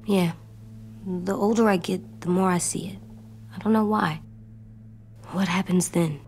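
A woman playing an anxious, stubborn young boy in a dramatic video game, speaking earnestly in halting, childlike phrases. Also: lowish voice, fairly even intonation, a little mellow, faint music behind. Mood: uneasy.

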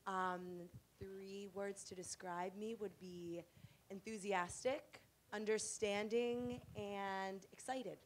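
A woman from Pittsburgh speaks into a handheld microphone with a very even, flat melody. She introduces herself steadily in a conference room. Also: medium pitch, slow, slight background noise. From Pittsburgh, Pennsylvania. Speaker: consulting partner representative.

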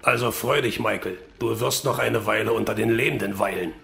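A man playing a bitter, gloating villain in a radio drama, deliberate and taunting. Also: lowish voice, steady tone, vocal fry, a bit bright, slight background noise. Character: bitter, gloating villain, vengeful disowned son.

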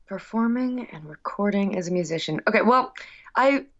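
Woman, medium pitch, musician interviewee, casual conversation, effusive storytelling, slightly muffled lo-fi sound. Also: expressive, normal speed. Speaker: musician guest being interviewed.

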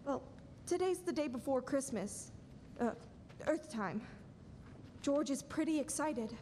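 A woman's voice: sits high, lively intonation, measured pace, dark tone, slight background noise. A woman playing a heavenly angel who tells the story in a live radio play. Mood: explanatory. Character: heavenly angel elder narrating to Clarence. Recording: echoey hall with long reverb.

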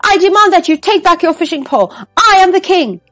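A woman's voice: on the high side, lively intonation, normal speed, dry recording. A woman reading a storybook aloud and voicing a proud, demanding male king who barks orders. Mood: imperious. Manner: haughty, commanding, shouting orders.

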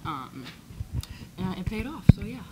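A woman's voice: mid-range voice, sing-song, measured pace, background noise. A young woman basketball player answering at a postgame press conference, upbeat and peppered with filler ums, into a desk microphone. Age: young adult. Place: press conference room, indoors. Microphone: desk microphone on stand.

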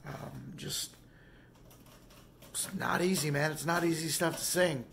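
A hoarse, tired man in his thirties talking casually to a handheld camera in a small home studio, rambling with fillers. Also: a little high, measured pace, slight background noise. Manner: rambling, easygoing, soft-spoken with fillers. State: hoarse and tired after a show. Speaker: vlogger and singer. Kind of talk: casual vlog talk to camera. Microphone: phone camera at arm's length.

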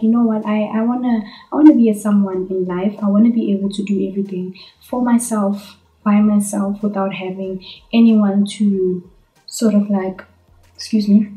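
A woman's voice: mid-range voice, warm tone. Earnest South African woman vlogging, roomy echo. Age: 20s. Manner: earnest, chatty, addresses viewers as guys. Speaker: YouTuber. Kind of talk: casual vlog Q&A. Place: bedroom, indoors. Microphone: camera on tripod in front. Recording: echoey bedroom.

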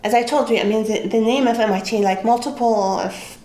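A woman's voice: a little high, brisk. Syrian woman, arabic first language, chatty interview in her office, restarts lots, echoey room. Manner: restarts often, soft laughs, thoughtful. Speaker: interviewee, computer scientist. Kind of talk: casual interview answers. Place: office with desk, indoors. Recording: roomy office echo, faint hiss.

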